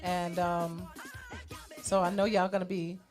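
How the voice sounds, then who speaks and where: A woman's voice: on the low side, flat delivery, a little slow, darkish tone. A woman co-hosting a radio show in casual, chatty style, repeating words and adding informal asides. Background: music.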